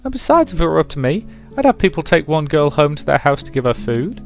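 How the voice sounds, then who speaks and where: Man voicing chatty young girl for visual novel; high, childlike, eager and innocent, music underneath. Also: big pitch swings, quick pace, gravelly. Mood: earnest, eager.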